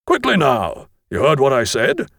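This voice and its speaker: A man's voice: mid-range voice, wildly swooping pitch, normal speed, no echo, clean studio sound. A man voicing a brusque, impatient British schoolmaster with a curt, commanding manner in an audiobook. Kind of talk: audiobook character voice.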